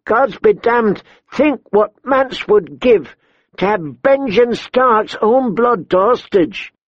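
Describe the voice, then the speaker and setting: Guy doing a tough lean bandit lady, scornful and rough, high voice, fantasy audiobook, slightly muffled recording. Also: lively intonation, slow, no room sound, exaggerated voice. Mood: scornful, urgent. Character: tall, lean, hard-faced outlaw woman, shrewd.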